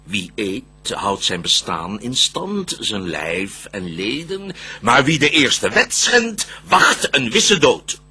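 An actor playing a shrewd, wary devil in a Dutch verse radio drama, declaiming intensely in a raspy voice. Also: male voice, mid-range voice, sing-song, takes it slowly, pushed and bright, full character voice. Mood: stern warning. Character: shrewd, wary male devil, conspiring accomplice in temptation.

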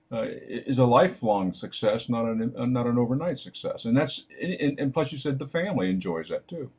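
Halting older interviewer on a call. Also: male voice, mid-range voice, a little mellow. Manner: halting, with many restarts and fillers, supportive. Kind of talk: casual interview over video call. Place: bright room at home.

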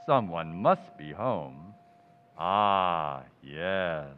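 An actor playing a friendly but ghoulish male undertaker in a radio play, talking slowly and hollowly. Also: deep voice, expressive, a little hiss or hum, full character voice. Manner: slow, hollow, punning. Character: gloomy male undertaker, slow and sepulchral. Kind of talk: old-time radio drama acting. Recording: dull reverberant stage recording.

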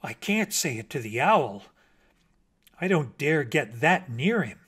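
A man's voice: mid-range voice, very animated, a little slow, fairly bright tone, slightly rough, full character voice. A man voicing a small male bat poet in a storybook, earnest, naive and hesitant, easily wounded. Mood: hopeful.

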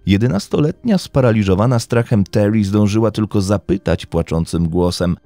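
A man with a low, mellow voice tells a dark true story as a podcast narrator. His delivery is scripted and suspenseful, with lively swings in intonation. Faint music plays underneath. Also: normal speed, no echo. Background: music bed under the voice.

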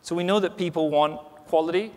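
A man's voice: a little high, brisk. Man giving a keynote in an echoey hall. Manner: conversational, many restarts and "you know". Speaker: keynote speaker. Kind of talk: conference keynote. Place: large conference hall stage. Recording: reverberant conference hall.

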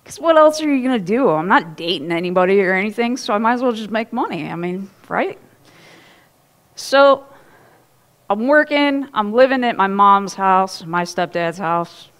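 A woman's voice: medium pitch, normal speed. A woman pastor in her thirties, preaching a sermon in a casual, storytelling way on a church stage. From Ohio. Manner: chatty, animated storytelling with laughs and asides.

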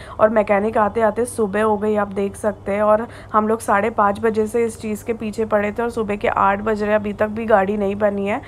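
A woman's voice: mid-range voice, slight background noise. A young woman in her twenties talking casually to her phone camera as a travel vlogger, tired and sleepy. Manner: chatty, tired, mixes in English words. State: exhausted and sleepy. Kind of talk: casual travel vlog narration. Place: roadside by a car, outdoors. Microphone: phone selfie at arm's length.

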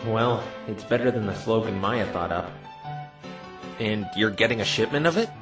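A man's voice: lowish voice, normal speed, music in the background, natural voice. A man playing a dry, sarcastic, easily exasperated young defense lawyer in a fan-dubbed visual novel. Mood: puzzled. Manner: dry, sardonic. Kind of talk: fan-dub visual novel character acting.

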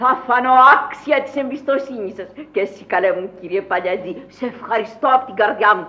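An actress in a Greek radio play, playing an imperious, eccentric countess with a commanding, theatrical delivery. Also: female voice, mid-range voice, fairly bright tone, natural voice. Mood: gracious, brisk. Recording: muffled old radio recording, faint noise.

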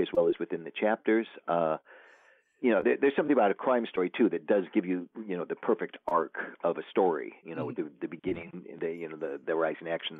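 A very deep-voiced man speaks over a thin, phone-like line with a dry, close sound. He is an author chatting as a guest, wandering through his thoughts with lots of fillers and false starts. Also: dry recording. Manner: rambling, many fillers and restarts. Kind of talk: casual interview talk.

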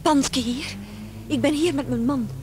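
An actress playing a determined, protective wife in a radio play, insistent and sharply reproachful. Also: female voice, sits high, a little slow, a little mellow. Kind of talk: Dutch-language radio play acting.

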